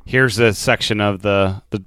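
A man hosting a podcast, relaxed and agreeable, asking follow-up questions in casual interview chat. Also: fairly low pitch, unhurried, clean tone, dry recording. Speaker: podcast host.

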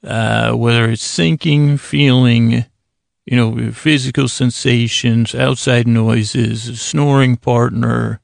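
An American man with a heavily creaky, gravelly voice hosts a bedtime podcast. He talks in a flat, narrow range, halting and restarting as he meanders through improvised tangents. The sound is dry and close. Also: mid-range voice, no echo. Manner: halting, meandering, self-interrupting with frequent tangents and pauses. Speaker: podcast host. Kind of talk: rambling, improvised bedtime monologue.

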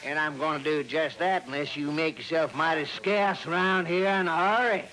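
An actor playing an irate, bossy small-town police sergeant in a 1960s radio drama. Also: male voice, on the high side, fairly even intonation, moderate pace, bright, forceful tone. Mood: threatening. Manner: blustering, barking orders, folksy. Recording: noisy old radio broadcast recording.

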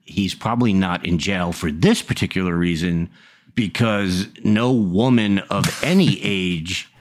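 A man's voice: low-pitched, measured pace. A man co-hosting a political podcast, chatting casually and wryly. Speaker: podcast co-host.

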